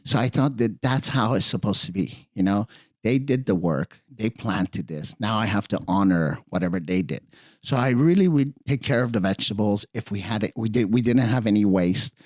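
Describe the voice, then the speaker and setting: Middle aged guy from iran, chef chatting on a podcast, lowish voice, dry close sound. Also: lively intonation, moderate pace, a little mellow, no echo. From Iran, partly raised in California. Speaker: guest, private chef. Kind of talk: casual podcast interview, personal stories.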